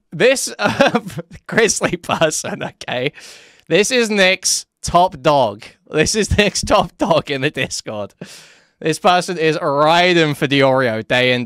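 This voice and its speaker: A man's voice: mid-range voice, a bit bright, smooth, dry recording, studio quality. A young man doing online drama commentary, mocking and crude, chuckling at his own jabs. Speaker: commentary YouTuber.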